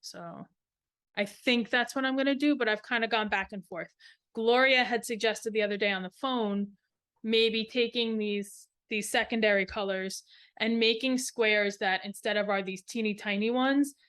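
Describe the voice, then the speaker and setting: A woman's voice: on the high side, moderate pace, a bit bright, smooth. A woman co-hosting a craft podcast, talking casually in a show-and-tell style and rambling as she thinks aloud. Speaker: knitting podcast co-host.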